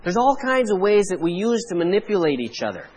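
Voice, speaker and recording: Canadian pastor preaching, high voice. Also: male voice, sing-song, darkish tone, breathy edge. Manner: measured preaching with emphatic pauses. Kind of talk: sermon.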